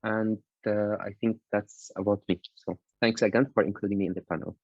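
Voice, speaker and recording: A man with a Lebanese accent, an academic introducing himself on a panel over a video call in halting phrases. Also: on the low side, steady tone, darkish tone, husky, no room sound. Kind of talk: informal self-introduction on a panel. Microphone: online video call. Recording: dull lo-fi online call audio.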